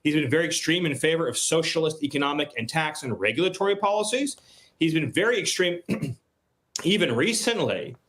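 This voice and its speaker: A male political commentator making his case on TV. His voice is a little high and rises and falls a lot as he hammers home each point with repeated words. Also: a bit bright. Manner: firm, emphatic, repeating key words. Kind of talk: political argument on TV.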